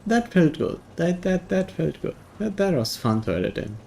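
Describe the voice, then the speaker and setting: A man's voice: sits high, very animated, normal speed, creaky, loud music behind the voice. A man narrating a scripted video game review in a wry, tongue-in-cheek way.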